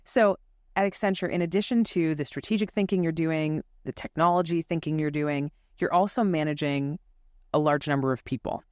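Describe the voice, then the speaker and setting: A podcast host asking structured, measured interview questions. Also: medium pitch, steady tone, darkish tone, no echo, clean studio sound.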